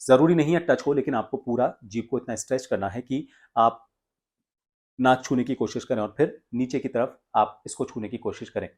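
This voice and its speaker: An Indian man speaks as a voice coach in an instructional tutorial. His voice sits at a middle pitch. He explains each step deliberately, often stopping mid-sentence before carrying on. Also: darkish tone, husky. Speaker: voice coach and presenter.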